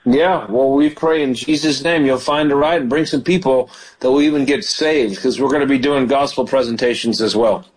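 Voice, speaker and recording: Husky guy pastor on a call-in show, praying hard, lots of hallelujahs. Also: medium pitch, normal speed, hardly any pauses, rough recording. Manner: fervent, prays aloud with hallelujahs. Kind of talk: call-in ministry talk and prayer.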